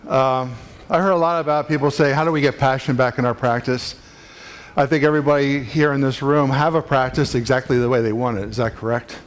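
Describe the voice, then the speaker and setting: A man's voice: mid-range voice, fairly even intonation, fairly quick. A man giving an emphatic, preachy talk to fellow professionals in a conference room. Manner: preachy, emphatic, builds up intensity. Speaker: chiropractic speaker. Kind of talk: motivational talk to a live audience.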